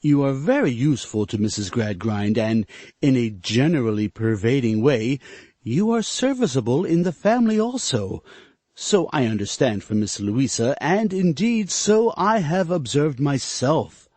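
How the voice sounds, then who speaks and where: A man reading aloud and voicing a stern, coldly practical older mill-owner who lectures in a stiff, measured way. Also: mid-range voice, sing-song, warm tone, no room sound. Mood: gently stiff.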